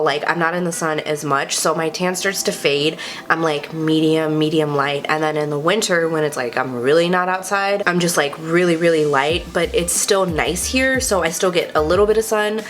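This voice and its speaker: Girl with a stuffy cold voice, lowish, rambly and excited, says like a lot, faint music. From Texas. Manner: gushing, lots of "like" fillers. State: congested from a cold. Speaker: beauty vlogger. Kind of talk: casual chatty product review.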